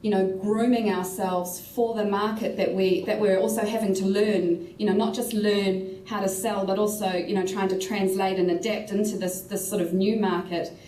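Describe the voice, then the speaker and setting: Mellow-voiced New Zealand woman on a panel. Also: medium pitch, flat delivery, echoey room, recorded from a distance, slight background noise, low-quality recording. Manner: measured, many "you know" and "um" fillers. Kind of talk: casual panel discussion.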